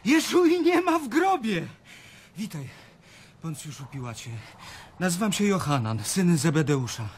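A young, fervent disciple in a radio play. His voice is high and full of belief, with faint ambience behind it. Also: big pitch swings, measured pace, a little hiss or hum, full character voice. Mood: exultant. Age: young adult. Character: fervent young male disciple proclaiming a miracle. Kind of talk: radio drama acting.

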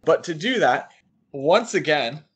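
Casual, halting man co-hosting a podcast. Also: fairly high pitch, very animated, slightly rough, muffled recording. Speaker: podcast co-host. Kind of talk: casual comic-book discussion.

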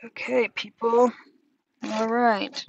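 A woman's voice: a little high, flat delivery, slow pace, gravelly, dull audio, a little hiss or hum. A woman talking casually through a cooking demonstration in a small van kitchen, narrating each step as she works. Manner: chatty, talks herself through each step. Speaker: van life vlogger.

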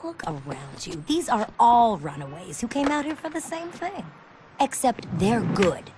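A woman's voice: on the high side, big pitch swings, unhurried, background noise. Sardonic young punk woman. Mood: wry, teasing. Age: young adult. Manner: wry, sarcastic, teasing.

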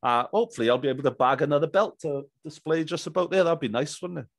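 A man's voice: fairly high pitch, fast talker, creaky, dry recording, studio quality. A man in his thirties interviewing casually as a podcast host, chatty and bantering. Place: small indoor home studio. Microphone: close boom-arm microphone, headphones.

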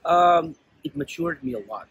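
A man's voice: a little high, lively intonation, moderate pace, a bit bright, breathy edge, no echo, muffled recording. A man in his forties from South Africa, talking earnestly in a street interview, with many fillers and restarts, recorded on a clip-on mic outdoors. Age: 40s. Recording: outdoor street with faint traffic noise.

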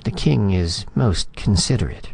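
A man playing an unflappable junior diplomat in a storybook reading, calm, terse and polite but firm. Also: on the low side, a little slow, vocal fry, breathy edge, no echo, exaggerated voice. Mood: smoothly polite. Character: cool, unflappable, curt male junior diplomat.

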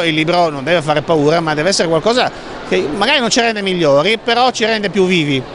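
High-voiced man at a noisy fair. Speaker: book presenter. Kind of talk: interview comment. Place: busy book fair hall. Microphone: handheld mic. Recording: crowd noise behind.

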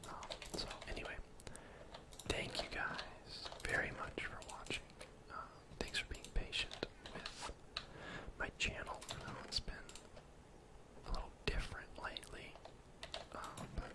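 A young man whispering casual, gently chatty ASMR game commentary in a soft, breathy voice. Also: measured pace. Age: young adult. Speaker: gaming ASMR video host. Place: indoor room at home.

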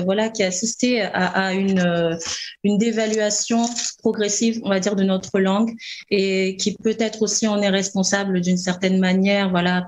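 A woman in her thirties from the Congo, introducing herself over a video call, earnest and halting with many fillers. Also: medium pitch, a little mellow, slight background noise. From Republic of the Congo. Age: 30s. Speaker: youth ambassador. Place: bright room by a window. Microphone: laptop webcam on a video call.

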